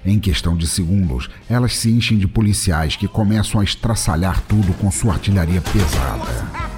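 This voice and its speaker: A man's voice: low-pitched, expressive, normal speed. A man with a Brazilian accent delivering scripted narration for a comic action story. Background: music.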